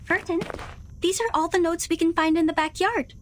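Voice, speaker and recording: Very high, eager young girl. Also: moderate pace, a little hiss or hum. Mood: eager, curious. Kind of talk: English video game character acting.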